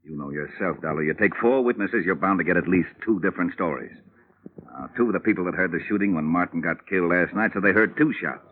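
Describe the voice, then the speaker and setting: An actor playing a brisk, matter-of-fact police sergeant in a 1950s radio drama. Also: male voice, low-pitched. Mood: businesslike. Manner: brisk, businesslike, plain-spoken. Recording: tinny old radio broadcast.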